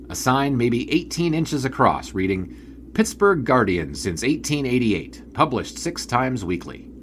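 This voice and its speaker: A wry old-time newspaper sports writer, lowish voice, wordy and dry, audio drama w/ background ambience. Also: male voice, a little hiss or hum. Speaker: narrator and lead character. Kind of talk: audio drama narration and dialogue.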